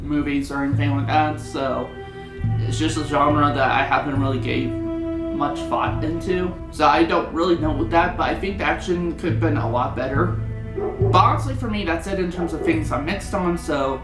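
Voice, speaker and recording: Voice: medium pitch, moderate pace. A person giving a casual, opinionated film review in a rambling, conversational way. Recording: roomy echo, music underneath.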